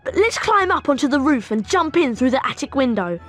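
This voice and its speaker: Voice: high-pitched, very animated, fairly quick, a bit bright, soft background music. An actor playing an eager, friendly young wizard boy with a British accent in a video game, chummy and informal. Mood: urgent, friendly. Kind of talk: video game character acting.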